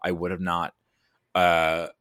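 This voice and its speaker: A man's voice: low voice, completely flat delivery, slow, fairly bright tone, dry recording, studio quality. A man chatting casually as a podcast guest, rambling and self-deprecating, sounding a bit tired. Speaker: podcast guest, writer and creative producer. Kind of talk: casual chat.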